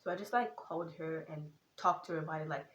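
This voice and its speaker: A teenage girl with a Nigerian accent that carries hints of British and American, talking straight to camera in a casual vlog. Her voice sits fairly low for a girl, and she speaks with earnest, animated emphasis. The room around her is echoey. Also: fast talker, dull audio, a little hiss or hum. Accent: Nigerian, with some British and American. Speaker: content creator.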